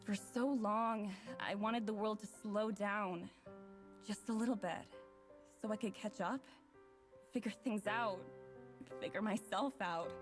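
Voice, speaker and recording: A woman's voice: mid-range voice, steady tone, measured pace, long pauses, close to an everyday voice. An actress playing a reflective, wry young woman who narrates her own story in a visual novel. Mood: wistful, hopeful. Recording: music playing clearly underneath.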